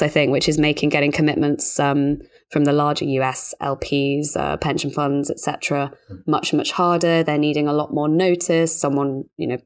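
A woman hosting a professional podcast, presenting and discussing as a journalist. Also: sits low. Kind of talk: scripted podcast introduction and interview discussion.

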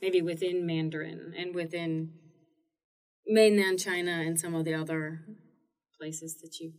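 A woman's voice: sits low, flat delivery, a little slow, darkish tone. A woman hosting a casual interview, asking curious follow-up questions. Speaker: host. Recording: distant mic in a room.

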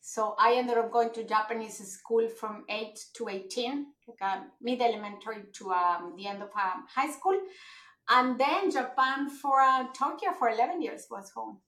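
A woman from Mexico, a podcast guest, talking in an animated way with many fillers and restarts. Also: on the high side, moderate pace. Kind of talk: conversational podcast interview. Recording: roomy echo.

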